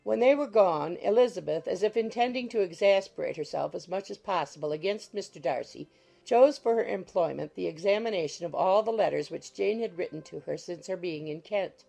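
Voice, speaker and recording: A woman's voice: lowish voice, expressive, brisk, gravelly, warm tone, rough recording. A woman reading scripted literary narration for an audiobook.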